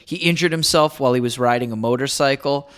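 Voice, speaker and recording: Young male podcast co-host, easygoing storyteller, mid-pitch, chatty. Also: fast, fairly bright tone, clean tone. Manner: laid-back recap with frequent "uh" and "um". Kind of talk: casual podcast chat with storytelling.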